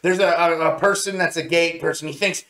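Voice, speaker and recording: A man co-hosting a review show in casual chat, with a halting, rambling way of telling things. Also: a little high, steady tone, a bit bright. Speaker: co-host of an anime review show. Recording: roomy, echoey sound.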